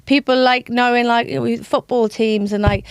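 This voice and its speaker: Smooth-voiced woman in casual chat. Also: a little high, steady tone, moderate pace, dry recording. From London, raised in South Wales. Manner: chatty, filler-heavy storytelling. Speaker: guest.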